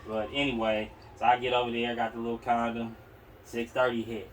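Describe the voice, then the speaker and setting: A man's voice: mid-range voice, flat delivery, a little fast. Distant-miked Oakland man telling stories. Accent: Oakland, California. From Oakland, California. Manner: slangy, profane, rambling. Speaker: podcast host. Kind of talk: casual, candid personal storytelling. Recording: distant mic, noisy room sound.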